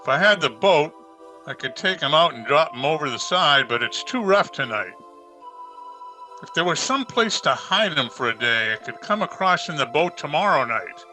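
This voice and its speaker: An actor playing a tall, wary killer in an old radio suspense play, talking in curt, suspicious phrases. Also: male voice, on the high side, lively intonation, normal speed, pushed and bright, soft background music, rough recording. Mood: wary, plotting. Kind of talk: 1940s radio suspense acting.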